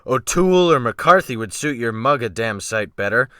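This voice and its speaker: Man playing brutal, barking sea captain, forceful. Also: medium pitch, animated, normal speed, no echo, studio quality. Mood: sneering, bullying. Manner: snapping, barking orders, thundering. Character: brutal, commanding ship's captain, mocking and menacing. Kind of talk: dramatic audiobook character reading.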